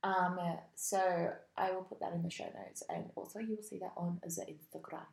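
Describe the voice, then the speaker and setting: A woman's voice: fairly low pitch, fairly even intonation, normal speed, vocal fry, reverberant. A woman co-hosting a podcast in casual chat, bubbly, laughing often and swearing freely. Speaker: podcast co-host.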